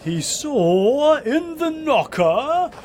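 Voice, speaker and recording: A man's voice: extremely high pitch, sing-song, drawn-out words, a little mellow, a little hiss or hum. A British man narrating a comic parody audiobook in a hammy, mock-spooky storytelling style, with muttered asides.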